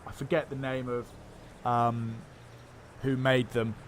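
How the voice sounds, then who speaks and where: Man streaming a game, mid-pitched, rambles through viewer questions with a faint hiss underneath. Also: level tone, slow pace. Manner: rambling, many fillers and restarts. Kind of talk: casual livestream chat, answering viewer questions.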